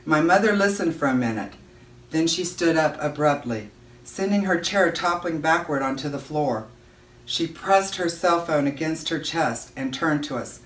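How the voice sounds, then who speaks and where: Echoey, distant woman reading a story aloud. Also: low voice. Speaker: audiobook-style reader. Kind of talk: expressive read-aloud of a children's novel. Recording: roomy echo, distant microphone.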